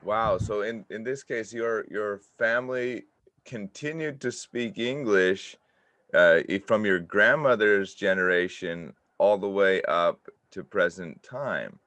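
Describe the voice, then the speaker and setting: Podcast host, male, low and dry, loose rambling delivery. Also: slow pace, fairly bright tone, dry recording. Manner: rambling, many fillers and restarts. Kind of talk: casual interview chat. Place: home, in Japan.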